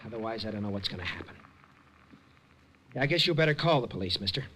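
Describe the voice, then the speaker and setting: A man's voice: lowish voice, lively intonation, fast talker, pushed and bright. An actor playing a panicky young man at the end of his rope in an old radio drama, pleading. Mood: desperate. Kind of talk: 1950s radio drama acting. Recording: old radio broadcast, faint hiss.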